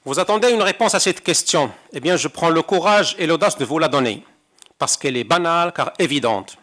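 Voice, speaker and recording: An older man from Morocco delivers a prepared seminar talk. His voice sits a little high, and his delivery is measured and rhetorical, with ironic asides. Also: expressive, fairly bright tone. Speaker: conference interpreter giving a talk. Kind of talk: reading a prepared speech. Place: seminar hall.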